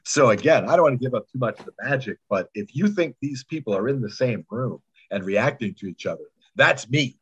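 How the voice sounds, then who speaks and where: A man's voice: medium pitch, expressive, brisk, few pauses, slightly rough, highs cut off. A man speaking as a podcast producer in a casual interview, with an emphatic, rambling storytelling manner. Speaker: podcast producer and sound editor. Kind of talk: animated casual interview chat.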